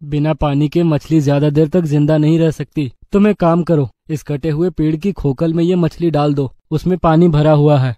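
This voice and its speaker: Male actor as farmer's grown son, high male voice, earnest, protective, dry sound, cartoon story. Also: fairly even intonation, moderate pace, few pauses, mellow, no room sound, close to an everyday voice. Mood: caring. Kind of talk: Hindi cartoon story acting.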